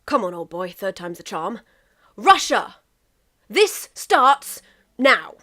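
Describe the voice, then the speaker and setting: A woman voicing a tipsy, pompous, stubborn man in a story, with halting drunken declarations. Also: medium pitch, sing-song, pushed and bright, exaggerated voice. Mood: drunkenly resolute.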